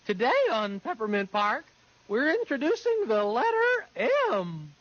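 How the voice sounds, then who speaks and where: A woman voicing a perky child-like puppet on an educational children's show, in a sing-song drawn-out way, on muffled old tape. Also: on the high side, very animated, no room sound. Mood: perky, enthusiastic. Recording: muffled old videotape sound.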